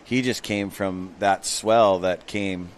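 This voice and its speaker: Low voiced guy calling surf heats, easygoing, bit of outdoor noise. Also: fairly even intonation, slow pace. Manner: easygoing, chatty. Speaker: surf contest commentator. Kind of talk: live sports commentary.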